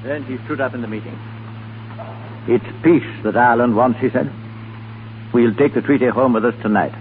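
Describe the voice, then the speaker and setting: A man's voice: medium pitch, normal speed, heavy background noise, lightly acted. Casting: male actor as rueful middle-aged English peer, solemn, hesitant, mid-range voice, vintage radio play, narrow tinny sound. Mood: grave, admiring. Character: English lord, grave, rueful statesman and father. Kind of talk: 1930s radio acting. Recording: tinny 1930s radio recording with music.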